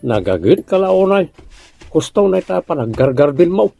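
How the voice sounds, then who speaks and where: A man acting a teasing, jumpy neighbor in an Ilocano comedy radio drama, with an Ilocano Filipino accent and excitable colloquial delivery. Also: high-pitched, normal speed, creaky, faint music behind, full character voice.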